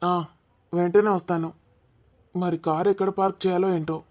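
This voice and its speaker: A man's voice: high voice, level tone, normal speed, darkish tone, natural voice. A man voicing a polite, mild young man in a cartoon comedy, matter-of-fact in manner. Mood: mild, pondering.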